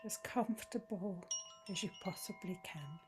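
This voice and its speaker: A woman guiding a yoga nidra meditation in a soothing manner, speaking in short phrases with long pauses. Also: mid-range voice. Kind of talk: slow guided meditation. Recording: voice over chime music bed. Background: meditation music with chimes.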